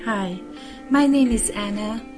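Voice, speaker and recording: A woman with a Romanian accent speaks slowly and carefully, her grammar showing she learned the language later. She makes a plain appeal for her charity. Guitar music plays clearly beneath her voice. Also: a little high. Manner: slow, careful, non-native grammar. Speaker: charity founder making an appeal. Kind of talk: scripted donation appeal. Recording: music playing under the voice.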